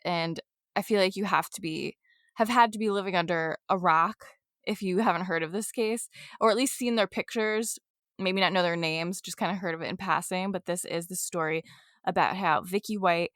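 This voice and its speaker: A woman's voice: lowish voice, fairly bright tone, no echo. A woman co-hosting a true crime podcast in a loose, chatty way, full of fillers and laughs. She sounds a little congested. Her voice sits close and dry on the microphone with no room around it. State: congested from allergies. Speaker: podcast co-host.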